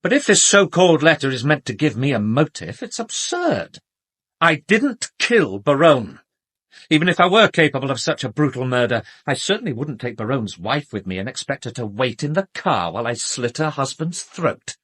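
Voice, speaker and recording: Haughty, husky British doctor. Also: male voice, mid-range voice, sing-song, moderate pace, a bit bright. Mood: dismissive. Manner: haughty, precise, emphatic. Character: arrogant, self-justifying male doctor under police questioning. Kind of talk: British radio drama acting.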